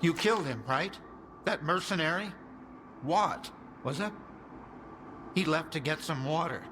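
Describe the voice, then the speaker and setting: An actor playing an anxious scientist who explains grave matters earnestly in a video game. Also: male voice, a little high, lively intonation, a little slow, background noise. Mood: grave, uneasy. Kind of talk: video game cutscene acting.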